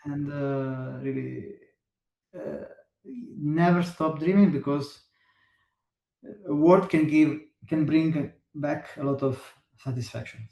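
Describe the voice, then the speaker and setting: A man with an Italian accent, a guest musician chatting in an interview, pausing often as he searches for words in English. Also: on the high side, gravelly, mellow, reverberant. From Italy. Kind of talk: casual interview chat.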